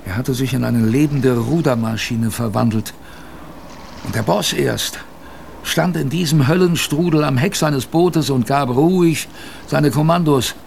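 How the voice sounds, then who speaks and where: Creaky, weary sailor narrator. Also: male voice, mid-range voice, measured pace, a little mellow, slight background noise. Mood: reflective, exhausted. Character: weary polar expedition sailor recounting his ordeal. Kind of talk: first-person radio drama narration.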